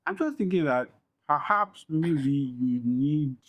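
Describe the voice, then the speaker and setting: A man's voice: a little high, expressive, takes it slowly, no echo, lo-fi sound. A man, speaking conversationally as a podcast host and interviewer.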